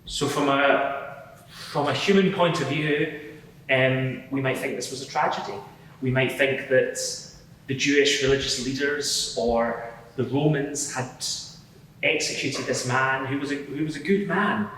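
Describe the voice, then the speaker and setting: A man's voice: medium pitch, steady tone, a bit bright, natural voice. Echoey, earnest man acting as a converted Pharisee witness. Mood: earnest, reflective. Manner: earnest, explanatory, halting with repeated words. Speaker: witness at a dramatised inquiry. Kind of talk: dramatised courtroom testimony. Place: large reverberant courtroom before a live gathering. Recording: echoey hall, distant mic, faint noise.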